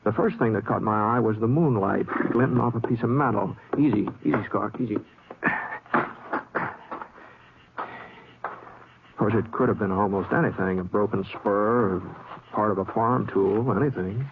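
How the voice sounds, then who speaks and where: Easygoing Old West drifter, American drawl, gentle and hesitant, on a tinny, noisy vintage radio recording. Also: male voice, lowish voice, brisk, creaky. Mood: grave, wondering. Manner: folksy, hesitant, plain-spoken drawl. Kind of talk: 1950s radio drama acting and first-person narration.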